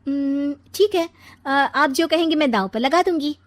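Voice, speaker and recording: A woman's voice: high voice, moderate pace, voice pushed up, natural voice. A woman voicing a cheeky, confident young daughter-in-law in a comic family story, perky and teasing. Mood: eager.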